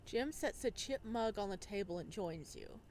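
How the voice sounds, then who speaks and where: A woman's voice: mid-range voice, lively intonation, normal speed, darkish tone, slight background noise. A woman hosting a game playthrough, reading narration aloud dramatically and adding casual commentary. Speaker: video game playthrough host.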